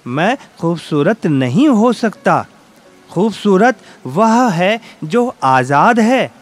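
Man voicing a slow, mournful captive peacock. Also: high-pitched, very animated, soft background music, heavily acted. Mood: dejected, longing. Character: sad captive peacock longing for freedom.

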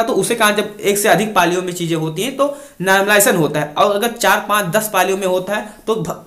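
A man's voice: high-pitched, fairly bright tone, echoey room. A young man giving an informal, instructive explainer to students, repeating key points. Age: young adult. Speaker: exam-news YouTuber and coach. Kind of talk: informal explainer addressed to exam aspirants.

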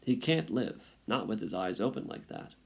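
A blunt, gloomy father mouse from a storybook, played by a man. He makes terse, matter-of-fact pronouncements with a creaky edge and lively pitch. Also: moderate pace. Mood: grim, dismissive.

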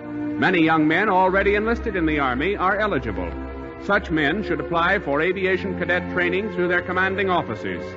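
High-pitched American wartime radio announcer, male. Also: expressive, brisk, dull audio. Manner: formal, declarative. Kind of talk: 1940s radio announcing, scripted narration. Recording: band-limited 1940s radio broadcast recording. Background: music.

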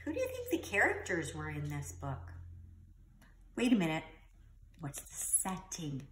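Woman, middle-aged, expressive teacherly storybook read-aloud, unhurried and very lively in pitch. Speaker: children's storybook reader. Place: living room at home, indoors.

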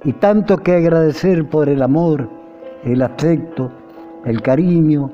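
An older Argentine man reciting a nostalgic poem in a measured, declamatory way, with phrases that rise and fall. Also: a little high. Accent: Argentine Spanish. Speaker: poetry reciter. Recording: dull lo-fi recording with music. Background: music behind the voice.

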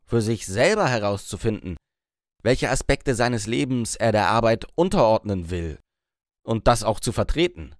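A man's voice: lowish voice, animated, normal speed, dry recording, clean studio sound, lightly acted. A man narrating an audiobook, voicing a blunt, scornful male boss who lectures crudely.

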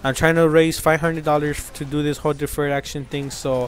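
A man's voice: a little high, flat delivery, a little fast, slight background noise. A young man from Mexico, hosting his gaming channel, talking casually and earnestly over gameplay, rambling with many fillers and restarts. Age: young adult.

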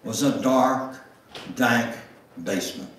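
The recording is very reverberant and a little distant, as in a church. An older man reads a memoir dramatically. His delivery is slow and deliberate, at a middling pitch. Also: a bit bright, a little hiss or hum. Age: older, 70s or above. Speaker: guest speaker reading his memoir. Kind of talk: dramatic memoir reading to a congregation. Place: church sanctuary, standing at music stand. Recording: echoey church room, distant microphone.

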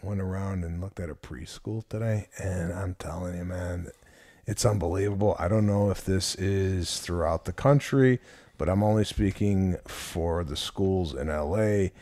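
A man co-hosting a podcast, telling a story in casual chat and getting animated when amazed. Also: low voice, unhurried, dry recording. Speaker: podcast co-host.

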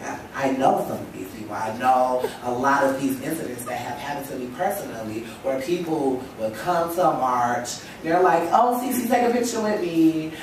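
A woman's voice: very deep voice, animated, normal speed, hardly any pauses, darkish tone, a little hiss or hum. A young woman with a stuffy cold, speaking emphatically on a panel in a lecture hall, at a table microphone. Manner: emphatic, rhetorical, lots of "you know". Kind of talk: impassioned panel talk. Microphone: table stand mic. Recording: echoey hall, mic from far off.

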